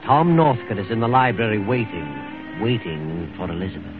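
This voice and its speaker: A male narrator of a vintage radio play, with a lowish voice. He reads scripted scene-setting over prominent music, on a thin, tinny old broadcast recording. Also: lively intonation, moderate pace.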